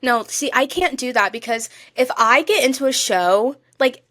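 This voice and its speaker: A woman's voice: high voice, lively intonation, moderate pace, a bit bright. A young woman co-hosting a podcast, chatting casually in an effusive, emphatic and colloquial way. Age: young adult. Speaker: podcast co-host.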